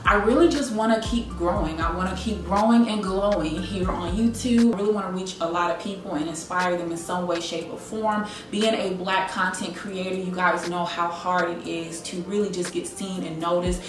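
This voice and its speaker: Chatty low-pitched American woman, very echoey room. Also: a little fast. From South Carolina, United States. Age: late 20s. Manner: chatty, girlfriend-like direct address. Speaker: YouTube content creator. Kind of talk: casual Q&A chat to camera. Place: indoors, living room at home. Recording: echoey room, distant mic, music behind. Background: background music.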